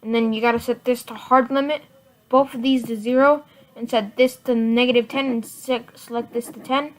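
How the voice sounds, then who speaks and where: A woman giving a casual software tutorial in informal, halting step-by-step instructions. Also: on the high side, fairly even intonation. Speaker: tutorial presenter. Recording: noisy home computer mic, clicks.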